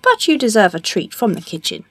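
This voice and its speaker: A gracious storybook king, put on by a woman narrator, stately and a little pompous. The voice is husky and close, with polite, projected phrasing. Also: mid-range voice, wildly swooping pitch, a little fast, no room sound, voice pushed up.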